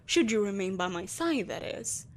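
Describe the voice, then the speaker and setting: A woman playing a vengeful, possessive empress in an audio roleplay, speaking in a haughty, theatrical and deliberately menacing way. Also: mid-range voice, very animated. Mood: haughty, grudging. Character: vengeful, possessive evil empress, soulless, imperious, coaxing a captive. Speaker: voice actor. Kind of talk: scripted audio roleplay acting.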